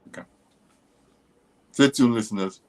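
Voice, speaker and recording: A man hosting a conversational radio interview, speaking in halting, deliberate phrases. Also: medium pitch, a little mellow. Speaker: interview show host.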